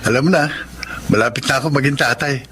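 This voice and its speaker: A man's voice: medium pitch, fast, a bit bright, close to an everyday voice. An actor playing a hardworking, hopeful father-to-be in a radio drama, conversational and good-natured. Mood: content. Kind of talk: Tagalog radio drama acting. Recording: faint background noise.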